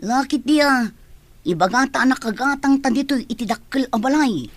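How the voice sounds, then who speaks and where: A woman's voice: sits high, normal speed, no room sound. A woman playing a self-interested wife in an Ilocano radio drama. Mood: scheming.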